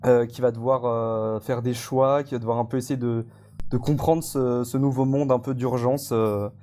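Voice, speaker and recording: A man's voice: medium pitch, fairly even intonation, moderate pace, dark tone. A man speaking informally and hesitantly as a guest in a casual live interview, rambling a little as he explains. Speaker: guest, audio fiction creator.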